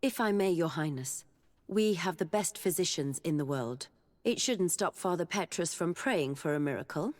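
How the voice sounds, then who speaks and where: An actress as a low-voiced, confident scholarly emissary. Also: female voice, animated, moderate pace, lightly acted. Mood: polite, assured. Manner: formal, deferential. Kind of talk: dramatic video game acting. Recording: long hall-like reverb.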